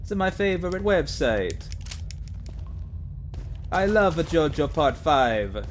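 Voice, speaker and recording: A man's voice: a little high, very animated, slow pace, a little hiss or hum. A man streaming a video game, chatting casually with viewers in a profane, joking, rambling way. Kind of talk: casual live-stream commentary.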